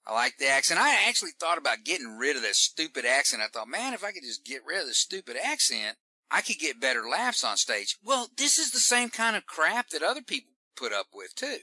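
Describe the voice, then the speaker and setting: A man with a Southern Texan accent, a comedian giving folksy, animated advice in an interview, often repeating words as he starts a sentence. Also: fairly high pitch, brisk, no room sound. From Texas. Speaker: stand-up comedian guest. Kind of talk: casual interview talk.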